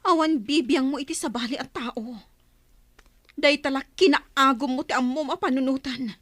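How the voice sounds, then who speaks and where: Woman acting a high-voiced, stern chief's daughter. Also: normal speed, a bit bright, dry recording. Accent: Filipino. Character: stern, principled chieftain's daughter, young tribeswoman. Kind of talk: Filipino radio drama acting.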